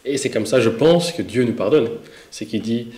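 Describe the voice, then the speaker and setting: A man in his thirties with a Belgian French accent, talking in a lively, animated way about faith and forgiveness. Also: mid-range voice, normal speed. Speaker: co-host and street interviewer. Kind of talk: casual faith discussion. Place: indoor studio set, echoey room. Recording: roomy echo.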